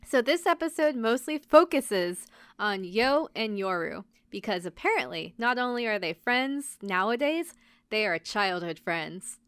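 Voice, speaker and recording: A woman's voice: medium pitch, lively intonation, unhurried, clear, resonant tone, no room sound. A woman podcast host giving a casual, chatty recap, informally acting out bits of dialogue with wry commentary. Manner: informal, acts out characters' lines in passing.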